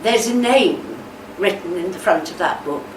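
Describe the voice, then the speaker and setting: An actress playing a gentle but insistent wife, tender and sorrowful, in a radio play. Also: female voice, lowish voice, animated, close to an everyday voice. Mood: gently insistent. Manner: gentle, coaxing, deliberate. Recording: echoey room, distant mic, background noise.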